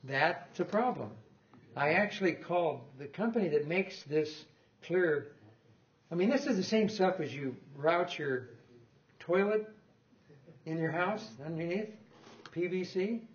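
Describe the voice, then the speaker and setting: A man's voice: high voice, lively intonation, measured pace, highs cut off. An older man giving an informal technical talk in a lecture room, rambling and correcting himself with small chuckles. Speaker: guest lecturer on loudspeaker design.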